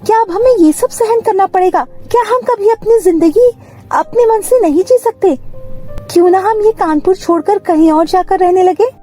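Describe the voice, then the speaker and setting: A woman's voice: very high voice, normal speed, warm tone, soft background music, pitched above the performer's own voice. A woman voicing an earnest, pleading schoolgirl daughter in a cartoon moral story. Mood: upset, pleading.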